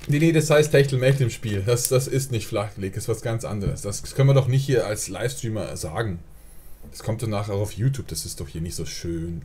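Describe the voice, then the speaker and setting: A man talks his way through a gaming livestream, chatty and rambling, with plenty of "äh" between thoughts. His voice is mellow and warm, at an ordinary pitch for a man. He sounds close to a desk microphone at home. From Germany. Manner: chatty, rambling, answers chat, frequent fillers. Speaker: live streamer. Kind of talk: casual gaming livestream chat.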